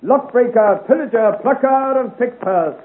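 An actor playing a flamboyant rogue cavalry officer in a 1950s radio serial, florid and mockingly courteous. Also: male voice, very high voice, a little slow, narrow, tinny audio. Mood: mock-polite, swaggering. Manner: florid, pompous, grandly ironic. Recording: scratchy 1950 radio recording, thin band.

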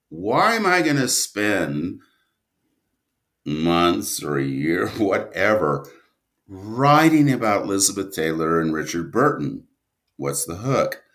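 He is a man with a lowish voice, talking as a guest in a casual interview. His delivery is slow and halting, with restarts mid-thought. The intonation moves widely, which keeps him animated. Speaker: interview guest, audio drama writer.

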